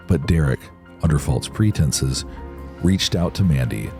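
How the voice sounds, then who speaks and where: Male true-crime narrator, extremely low voice, scripted delivery over a music bed.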